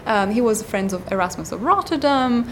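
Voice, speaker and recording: A woman's voice: on the high side, lively intonation, moderate pace, a bit bright, a little hiss or hum. Hesitant woman scholar, frequent ums. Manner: hesitant, many ums and uhs. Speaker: guest scholar. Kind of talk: academic interview.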